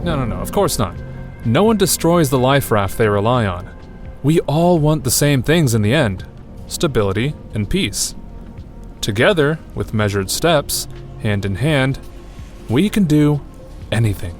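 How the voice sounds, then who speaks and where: Placating schemer, widely swooping. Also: male voice, mid-range voice, very animated, unhurried, soft background music. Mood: placating, deliberate. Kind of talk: audio drama dialogue.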